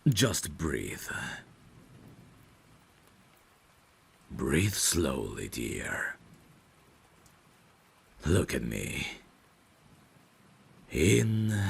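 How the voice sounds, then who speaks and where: A courtly vampire butler played by a man speaks with gravelly depth and deferential care. His formal phrasing rises and falls expressively in this ASMR audio drama, over faint background noise. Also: rumbling low, heavily acted. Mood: soothing, concerned. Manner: formal, deferential, measured, gently solicitous. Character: courtly, caring male vampire butler, soft-spoken and protective.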